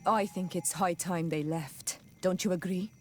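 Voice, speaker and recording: Game role: queen's resolute daughter, female actor, lowish pitch, plain-spoken and earnest. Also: fairly even intonation, measured pace, soft background music, lightly acted. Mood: firm, distrustful. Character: resolute native warrior sister, daughter of queen. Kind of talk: video game cutscene acting.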